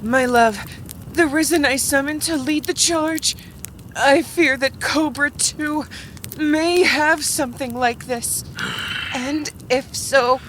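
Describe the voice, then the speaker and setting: A woman's voice: high-pitched, slow, a bit bright, slight background noise. A woman playing a devoted, resolute consort who commands the dead, earnest and solemn, in a fantasy audio drama. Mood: worried, resolute.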